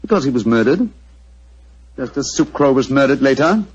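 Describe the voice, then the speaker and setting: A man acting a brilliant, self-assured English gentleman detective in a 1940s radio drama, with a British accent and precise, theatrical delivery. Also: mid-range voice, animated, moderate pace, slightly rough. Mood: coolly analytical. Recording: muffled, dull 1940s radio recording.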